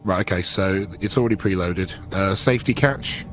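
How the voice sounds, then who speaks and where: A man with a fairly low voice plays a tabletop game, casually narrating what his character does. There is some noise in the recording. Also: normal speed, fairly bright tone. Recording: clear background noise.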